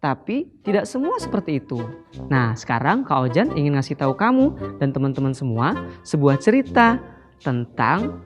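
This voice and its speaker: A woman telling a children's story in an animated, sing-song way, addressing the children directly. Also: deep voice, moderate pace, dull audio, over music.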